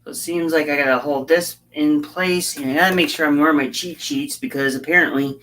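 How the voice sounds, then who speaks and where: A hoarse woman chatting casually on a livestream as she builds a scale model, rambling and halting with frequent fillers. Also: low-pitched, fairly even intonation, fairly bright tone. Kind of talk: casual livestream chat while working.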